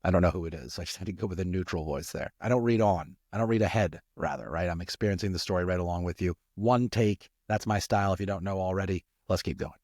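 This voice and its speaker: A man's voice: sits low, fast, hardly any pauses, a little mellow, dry recording, clean studio sound. A man reading a storybook aloud as narrator, slipping into chatty, informal asides. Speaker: storyteller and audiobook reader.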